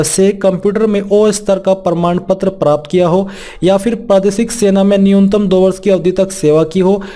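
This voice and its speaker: High-pitched man explaining. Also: dark tone. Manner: explanatory, reads out formal text then restates it plainly. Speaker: presenter of a job vacancy explainer. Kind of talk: informal instructional walkthrough of an official notice.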